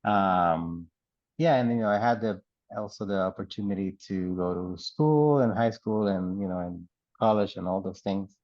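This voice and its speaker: A middle-aged man from the Dominican Republic and Puerto Rico, an artist in residence, telling his life story in a relaxed, rambling way with many fillers and laughs. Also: low voice, moderate pace, dry recording, lo-fi sound. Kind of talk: oral history interview.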